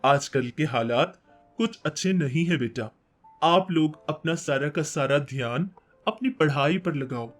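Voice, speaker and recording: A man playing a principled, thrifty father who patiently instructs his child, with a husky voice. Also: on the high side, a little slow, soft background music. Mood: patient, advising.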